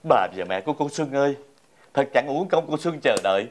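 A man playing an excitable, kindly old village grandfather in a fairy-tale drama, with a southern Vietnamese accent and a theatrical, exclaiming delivery. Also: mid-range voice, brisk, natural voice. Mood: admiring. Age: older. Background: background music.

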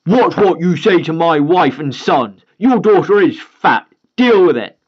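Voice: mid-range voice, expressive, a little slow, breathy edge, pitched above the performer's own voice. A storyteller voicing a blunt, menacing entitled father, on a slightly dull recording. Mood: threatening. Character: gruff entitled father, threatening.

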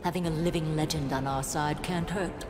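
A woman's voice: low voice, fairly even intonation, moderate pace, close to an everyday voice. A woman playing a stern, resolute military commander in a fantasy game, with a formal, grave and commanding manner. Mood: grave, urgent. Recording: echoey reverberant hall, game music behind.

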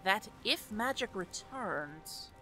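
Woman as indignant young seafarer, animated voice. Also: medium pitch, slow pace, faint music behind, full character voice. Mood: astonished. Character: indignant, strong-willed young seafaring sister in fantasy world. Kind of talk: audio drama acting.

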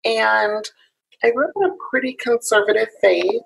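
A young woman talks earnestly as a guest on a video call, with a strongly gravelly edge to her voice. There is a husky breathiness under her words, and she fills her pauses with ums. She sounds open and sincere as she explains things. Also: fairly high pitch, rough recording. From South Carolina, raised in Illinois. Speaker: interview guest, activist. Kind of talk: casual video-call interview. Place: small home room.

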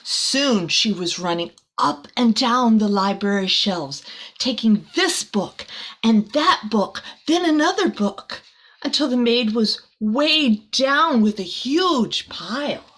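A woman's voice: on the high side, expressive, takes it slowly, fairly bright tone. A middle-aged woman reads a children's picture book aloud expressively, with casual asides to young listeners, in a small room.

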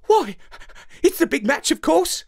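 A man voicing a pretty, chatty young female dog, an excited football fan in a storybook, in a light, high, breezy voice. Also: measured pace, vocal fry, full character voice. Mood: excited, eager.

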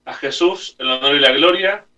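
Bright-voiced priest preaching from across the room. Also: male voice, medium pitch, moderate pace, far from the mic. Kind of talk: homily and prayers at a home-recorded Mass. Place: small room at home, indoors. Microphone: camera a few metres away.

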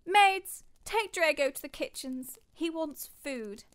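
A woman's voice: sits high, expressive, takes it slowly, a bit bright, heavily acted. A woman playing a spoiled teenage princess with a whiny, bossy, childish manner in an audio drama. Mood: bossy, sulky. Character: spoiled fifteen-year-old princess with a toddler's temperament.

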